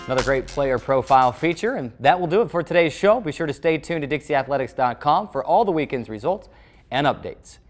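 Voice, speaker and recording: A man's voice: fairly high pitch, expressive, a little fast. A man with an American accent presenting a sports show in a scripted announcer style, as its host. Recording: music playing under the voice. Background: background music.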